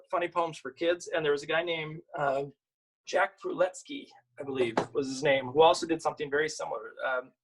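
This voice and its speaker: A man with a slightly husky voice, an author and illustrator sharing picture books at a virtual storytime in an informal way. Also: a little high, rough recording. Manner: informal, halting when chatting. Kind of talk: virtual storytime, reading aloud and chatting about the books.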